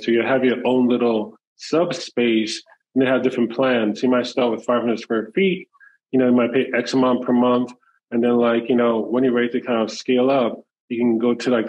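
A man's voice: mid-range voice, darkish tone, slight background noise. A man on a group video call, explaining an idea at length in a loose, rambling way with plenty of fillers. His voice sits in a middle range, and the call audio sounds a little muffled. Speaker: call participant.

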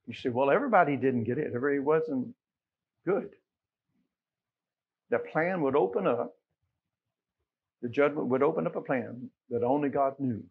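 A middle-aged American man preaching a Baptist sermon, emphatic, moving between quiet asides and loud exclamations and quoting scripture. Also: mid-range voice, normal speed, long pauses, husky, phone-quality sound. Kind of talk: Sunday sermon with scripture quotations. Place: small church sanctuary, at the pulpit.

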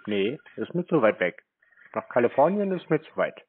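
A man with a husky voice in a prank phone conversation, answering in a deadpan, naive manner. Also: mid-range voice, normal speed, slight background noise. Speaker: prankster answering scam calls. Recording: tinny, dry phone-band sound.

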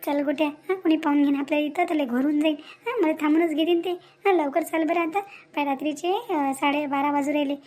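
A woman's voice: high-pitched, fairly quick, darkish tone, close to an everyday voice. A woman voicing a dreamy, plaintive young village woman in a cartoon, with a Vidarbha Marathi accent. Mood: urgent. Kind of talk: amateur cartoon dialogue.

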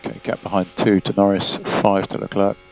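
A man working as race engineer, calmly giving gaps and instructions over team radio and repeating the key numbers. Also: low-pitched, a little fast, poor audio.

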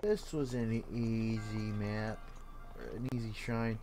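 A man's voice: lowish voice, fairly even intonation, takes it slowly, dark tone, faint music behind. A man streaming a video game, chatting casually in a laid-back, rambling way and swearing freely. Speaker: gaming streamer.

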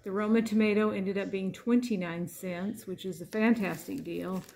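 A woman's voice: mid-range voice, steady tone, moderate pace, creaky, mellow. An American woman casually narrating a shopping haul, chatting through it item by item. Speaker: grocery haul vlogger. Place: indoors at a home dining table.

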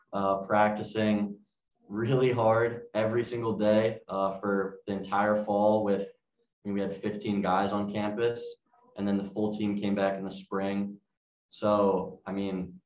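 A man's voice: on the low side, a little mellow. A young man answering questions as a college team captain at a postgame press conference. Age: young adult. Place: press conference room, indoors at a table. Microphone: distant camera mic, face mask on. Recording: echoey room, distant microphone.

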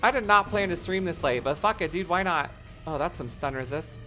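A game streamer with a voice going hoarse, chatting casually, swearing a lot and reacting loudly to the game. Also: mid-range voice, fairly quick, faint music behind. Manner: excitable, profane, reacts loudly to gameplay. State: voice getting hoarse. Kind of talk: casual live-stream commentary.

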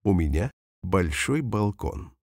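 A man reading example sentences slowly and carefully for language learners, saying each one twice. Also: low voice, very animated, darkish tone, no echo, clean studio sound. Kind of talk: slow repeated phrase reading for learners.

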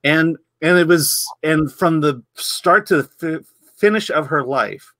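A man's voice: on the high side, a little slow, fairly bright tone, dry recording. A middle-aged man hosting a casual film review over a video call, talking conversationally and repeating words as he thinks. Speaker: host, film site editor. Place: home room.

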